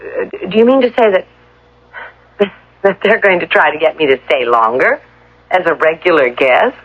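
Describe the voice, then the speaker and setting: A woman's voice: lowish voice, lively intonation, normal speed, husky. An actress playing a polite, anxious young woman stranded at a resort, in a radio drama. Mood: puzzled. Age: young adult. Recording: muffled old radio recording, faint noise.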